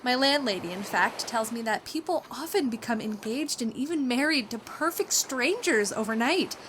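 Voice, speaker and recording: A shy, romantic spinster in a vintage radio play. Her voice sits fairly high and gushes with breathless, girlish excitement. The old recording carries a light layer of noise. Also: normal speed. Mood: thrilled, hopeful. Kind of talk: 1940s radio acting, diary narration and dialogue.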